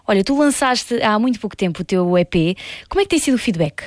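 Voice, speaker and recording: A woman's voice: mid-range voice, animated, fast talker, a little mellow, dry recording, studio quality. A woman with a European Portuguese accent hosting a music interview on the radio. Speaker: radio host.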